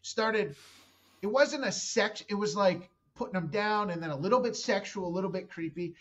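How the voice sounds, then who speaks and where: A man's voice: high-pitched, moderate pace, a bit bright, lo-fi sound. A man in his thirties, a comedian guest telling a long story on a podcast, rambling and swearing and cutting himself off mid-sentence. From Canada. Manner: rambling, profane, self-interrupting storyteller.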